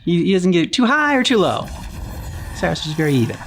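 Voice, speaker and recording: A woman's voice: on the low side, sing-song, fast talker, crowd noise. A woman giving casual, off-the-cuff reaction commentary as a video host. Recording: clear background noise from game playback.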